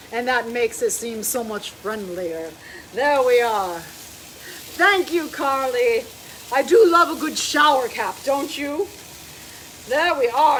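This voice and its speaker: A woman's voice: high voice, a little hiss or hum, low-quality recording. A middle-aged woman with a light Americanized German accent, hosting a chatty cooking demonstration in a home kitchen and fussily narrating each step. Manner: prim, fussy, homey, narrates each step. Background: food frying in a pan.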